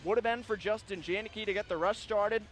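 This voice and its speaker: High, bright-voiced male hockey announcer. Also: fast. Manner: excitable, building to shouted scoring calls. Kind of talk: live sports radio commentary. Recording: live broadcast with clear background noise.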